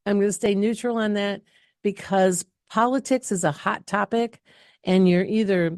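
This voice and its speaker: A woman's voice: lowish voice, warm tone, no room sound, studio quality. A middle-aged woman hosting a casual live question-and-answer session, talking in a chatty, rambling way with many fillers and restarts. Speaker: health clinic host answering viewer questions.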